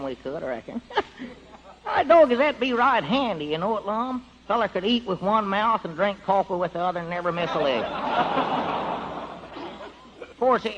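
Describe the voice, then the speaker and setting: An actor playing a simple-minded, talkative rural old-timer with a rural Southern accent in a folksy, rambling 1940s radio comedy style. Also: male voice, high voice, noisy background. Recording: dull 1940s radio broadcast recording.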